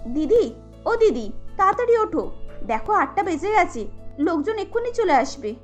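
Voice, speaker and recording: A woman's voice: very high voice, very animated, normal speed, faint music behind, voice pushed up. A woman voicing an earnest, anxious young daughter-in-law in a cartoon, pleading and polite. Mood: urgent.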